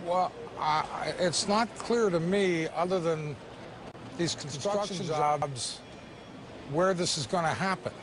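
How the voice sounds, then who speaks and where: A middle-aged man in a news studio pushes back with slow, skeptical questions. His voice is strikingly high for a man. Faint noise sits behind him. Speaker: co-anchor. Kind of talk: live business news debate.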